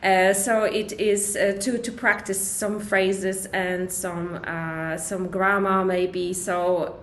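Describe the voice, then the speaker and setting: A woman whose first language is Polish, hosting an interview in a slow, hesitant way with many fillers. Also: medium pitch, flat delivery, echoey room, a little hiss or hum. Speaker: host.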